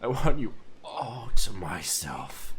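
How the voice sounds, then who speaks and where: A hoarse man voicing an obsessive, lovesick shy young woman in a hushed, intense manner. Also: on the low side, sing-song, takes it slowly. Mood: feverish. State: hoarse, losing his voice.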